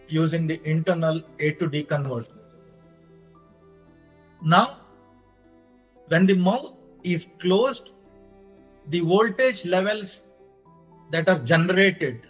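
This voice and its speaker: An Indian-accented man delivers a technical lecture in a fairly high voice. He speaks slowly and deliberately, laying out each step in short phrases. Faint music sits in the recording. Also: husky, poor audio. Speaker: lecturer presenting own engineering research.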